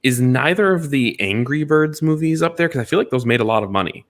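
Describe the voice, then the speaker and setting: A man's voice: medium pitch, animated, brisk. A man co-hosting a casual group discussion, offering opinions and qualifying them as he goes. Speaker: podcast co-host.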